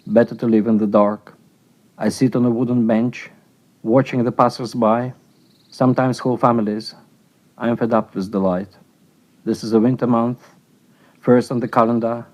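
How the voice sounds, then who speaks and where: Male poet for verse recital. Mid-range voice, flat intonation. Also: medium pitch, normal speed, long pauses. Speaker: poet reading own poem. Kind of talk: poetry recitation.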